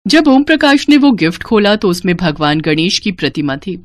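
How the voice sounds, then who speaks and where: A woman's voice: on the low side, sing-song, moderate pace, no echo. A woman reading a scripted story as its narrator.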